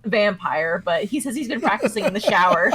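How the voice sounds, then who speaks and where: A woman's voice: mid-range voice, expressive, a little fast, a bit bright, slightly rough. A woman co-hosting a podcast, retelling a TV episode in a chatty way and laughing often. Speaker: podcast co-host. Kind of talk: casual episode recap.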